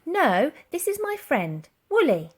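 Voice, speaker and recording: A woman voicing a clever, mischievous young girl who talks in a sly, lively way. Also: high-pitched, slow, pitched above the performer's own voice, heavily acted. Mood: eager.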